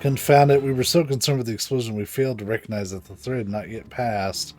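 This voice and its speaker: A man voicing an earnest, dutiful guard from a video game, reading the formal dialogue aloud with deliberate urgency. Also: mid-range voice, steady tone, a little mellow, soft background music, close to an everyday voice. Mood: alarmed.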